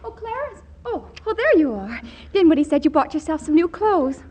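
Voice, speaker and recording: A woman's voice: high voice, sing-song, normal speed, a little mellow. A woman playing a willful, lovesick young daughter with a petulant, melodramatic manner in 1940s radio comedy style. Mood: curious, needling. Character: willful, lovesick, tantrum-prone young daughter of a wealthy household.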